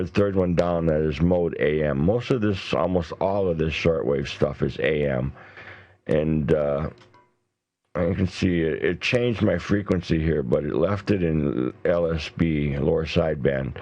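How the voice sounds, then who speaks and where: A middle-aged man walking through software step by step in a casual, informal, explanatory voice-over. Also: extremely low pitch, no echo. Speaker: software tutorial presenter. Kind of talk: casual step-by-step screen tutorial. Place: indoors, voice-over for a screen recording.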